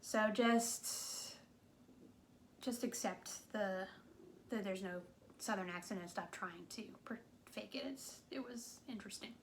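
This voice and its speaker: A woman's voice: medium pitch, unhurried. A woman from New England giving a casual, wry movie review, full of "like" and "um.". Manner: chatty, wry, with frequent "like" and "um".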